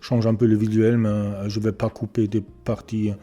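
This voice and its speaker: A German man speaking French with a German accent, hesitantly introducing himself and showing his small home repair workshop, often pausing to search for words. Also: fairly low pitch, flat delivery, warm tone, soft background music. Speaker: electronics repair beginner presenting his channel. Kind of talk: casual self-introduction and workshop tour.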